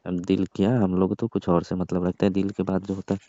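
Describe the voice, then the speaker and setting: A man acting as a smug young rich employer in a comic phone skit. His voice is low and mellow, and he talks in a pushy, teasing way. The call sounds muffled and lo-fi. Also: monotone, fast talker, no room sound, close to an everyday voice.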